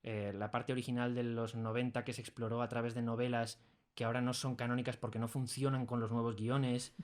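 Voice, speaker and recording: A man's voice: medium pitch, level tone, a little fast. Enthusiastic Spanish man chatting casually. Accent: Spanish from Spain. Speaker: interview guest, audio drama creator. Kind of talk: casual studio conversation. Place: recording studio.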